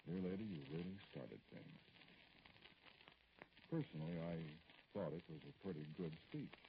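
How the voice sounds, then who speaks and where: An actor playing an aggrieved, dignified politician in an old radio drama, speaking formally and hesitantly in a gravelly voice. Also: male voice. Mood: wounded, indignant. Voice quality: very deep, gravelly. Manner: hesitant, formal, pointed, with pauses. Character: aggrieved middle-aged male politician, dignified, brooding, addressing a caller. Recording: noisy, dull vintage radio recording.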